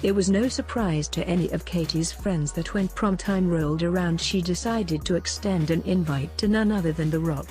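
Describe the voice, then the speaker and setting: A woman's voice: lowish voice, normal speed, few pauses, a little mellow, faint music behind. A woman reading a scripted feel-good human-interest story as a narrator.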